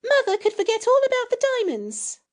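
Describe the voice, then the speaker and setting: A reader voicing a gloomy younger girl who speaks flatly and bluntly. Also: very high-pitched, normal speed, pitched above the performer's own voice, exaggerated voice. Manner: flat, blunt. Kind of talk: storybook reading.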